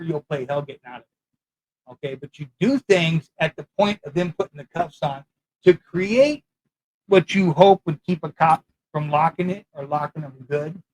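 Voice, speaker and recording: Loud, blunt ex-con man, profane. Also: on the high side, low-quality recording. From California. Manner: blunt, profane and boastful street talk; rambling, loses his thread. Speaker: former prisoner sharing prison know-how. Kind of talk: casual monologue to camera. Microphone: talking into a mic.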